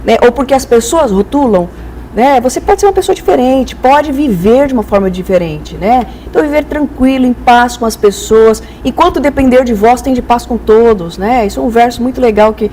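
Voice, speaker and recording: A woman's voice: on the high side, lively intonation, fairly quick, mellow, slight background noise, low-quality recording. A Brazilian woman speaking as an expert guest in a conversational, didactic way, illustrating with acted-out examples. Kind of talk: conversational explanatory interview.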